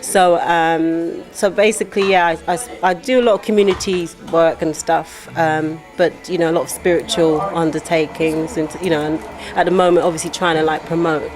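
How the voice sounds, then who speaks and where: A woman's voice: sits low, fairly even intonation, moderate pace, hardly any pauses, over music. A middle-aged woman from Guyana, an author and poet, talking in a casual interview with many fillers and restarts.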